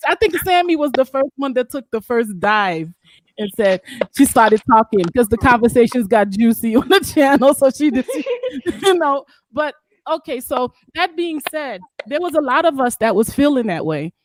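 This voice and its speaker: A woman from Haiti on a group call, talking in an animated, playful way and laughing often. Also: high-pitched, moderate pace. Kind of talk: casual group discussion.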